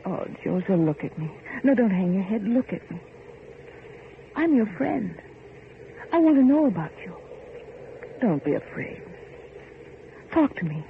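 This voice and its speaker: An actor playing an idealistic, insistent factory heir in an old radio horror drama, with an earnest, pleading and commanding manner. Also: male voice, high voice, big pitch swings, a little slow, vocal fry, pauses often, exaggerated voice. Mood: earnest coaxing. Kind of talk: 1940s radio horror acting. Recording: muffled old radio recording, faint hiss.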